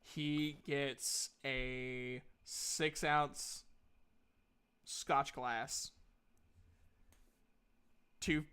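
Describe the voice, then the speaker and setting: A man working as game master, narrating casually and haltingly with repeated words. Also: mid-range voice, little pitch movement, sharp tone, clear, resonant tone.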